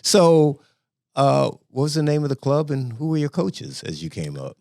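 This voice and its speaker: A man's voice: mid-range voice, very animated, moderate pace, gravelly, a little mellow, no echo, studio quality. A man hosting a podcast, asking questions in a friendly, joking way. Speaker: podcast host. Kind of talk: casual interview hosting.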